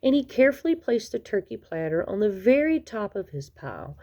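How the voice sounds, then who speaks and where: A woman reading a children's picture book aloud expressively, with dramatic, drawn-out emphasis on key words. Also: mid-range voice, very animated, warm tone, muffled recording. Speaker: children's story reader.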